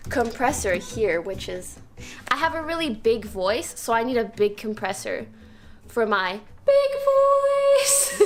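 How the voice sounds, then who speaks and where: Giggly young woman streamer, chatty. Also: fairly high pitch. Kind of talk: casual setup tour.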